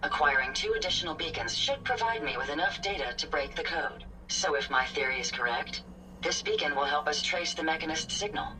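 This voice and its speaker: A woman playing a polite, analytical female robot companion in a video game, speaking in formal, measured, precise phrases. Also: low voice, expressive. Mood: calm, hopeful. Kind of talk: scripted video game dialogue. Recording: distant sound with game music behind.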